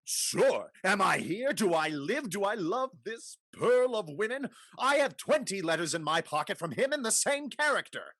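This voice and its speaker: Actor playing a blustering, bright-voiced gallant knight. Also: mid-range voice, very animated, moderate pace, few pauses, no echo. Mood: swaggering defiance. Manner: declamatory, swaggering. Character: blustering gallant suitor, a male knight in disguise. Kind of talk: theatrical Restoration comedy reading.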